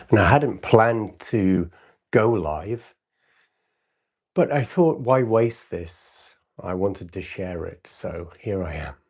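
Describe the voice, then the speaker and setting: A man with a low, mellow voice guides a meditation in short, soothing phrases. His tone is husky and soft-edged, and he leaves long gaps as he gently instructs the listener. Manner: spontaneous, unscripted, with long pauses between short phrases. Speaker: meditation teacher hosting a livestream.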